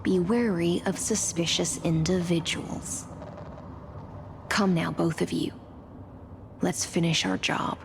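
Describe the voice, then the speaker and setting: A woman's voice: on the low side, steady tone, slow, vocal fry, long pauses, slight background noise. A woman playing a poised, authoritative noblewoman in a dramatic game, formal, cool and composed. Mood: cool, stern.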